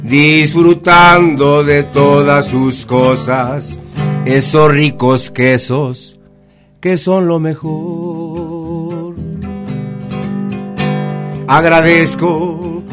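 A man, a singer-songwriter guest singing a heartfelt ballad in a slow, sincere way. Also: fairly high pitch, fairly even intonation. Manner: slow, heartfelt sung delivery. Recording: guitar accompaniment behind voice.

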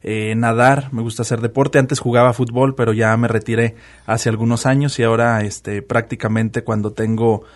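A man in his thirties with a Mexican Spanish accent, a political candidate answering questions in a radio studio booth, talking quickly with many fillers. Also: medium pitch, flat delivery. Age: 30s.

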